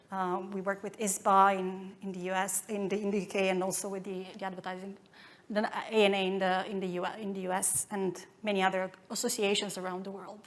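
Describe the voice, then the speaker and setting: A woman in her thirties from Romania with a Romanian accent, giving a conference talk with many fillers and restarts. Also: medium pitch. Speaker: conference speaker.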